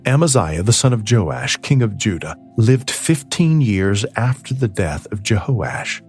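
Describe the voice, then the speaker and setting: A man narrating scripture as a scripted audiobook reading, with measured, solemn phrasing. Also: on the low side, darkish tone. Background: ambient music.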